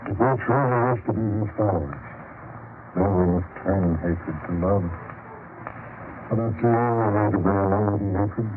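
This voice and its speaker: A man portrays a patient, earnest male disciple in a biblical radio play. The low voice is measured and consoling. The recording is a narrow, muffled 1940s broadcast with clear noise. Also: natural voice. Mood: earnest conviction. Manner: measured, solemn, reassuring. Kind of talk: 1940s radio drama acting.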